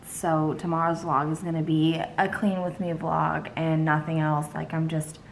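A woman's voice: sits low, little pitch movement, moderate pace, vocal fry, slight background noise, rough recording. Tired young woman vlogging from her kitchen. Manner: chatty and rambling, many ums and likes. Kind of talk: casual vlog chat. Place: home kitchen, indoors. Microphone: phone held close.

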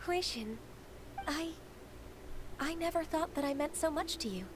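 A woman voicing a gentle, shy, dutiful secretary who is half immortal, speaking softly and earnestly. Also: high voice, lively intonation, unhurried, noisy background. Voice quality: soft, earnest. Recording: game dialogue over music.

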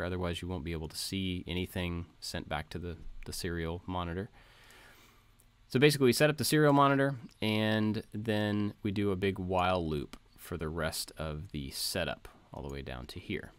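Low-voiced man giving a casual tutorial. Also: no room sound. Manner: informal, explanatory, step by step. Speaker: tutorial presenter. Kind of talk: casual screen-share code walkthrough.

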